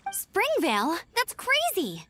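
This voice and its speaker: A woman's voice: extremely high pitch, wildly swooping pitch, slow, music in the background, full character voice. A woman playing a confident, eager young knight scout in an anime video game, speaking in an earnest, upbeat way. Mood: concerned.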